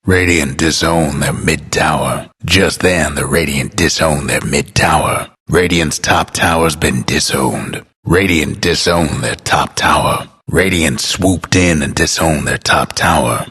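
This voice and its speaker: An actor playing a laconic, folksy, world-weary male game announcer with an American accent and a gravelly, husky voice. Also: very deep voice, a bit bright, heavily acted. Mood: wry, understated. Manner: folksy, unhurried, understated. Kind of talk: scripted game announcer lines.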